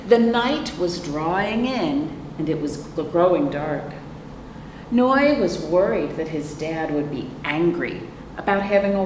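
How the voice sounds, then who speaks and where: An American woman hosting children's storytime as a librarian, reading picture books expressively and asking her young listeners questions. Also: sits low. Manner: expressive, asks listeners questions and pauses for answers. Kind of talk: picture book read-aloud for young children. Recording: roomy, echoing, noisy, far from microphone.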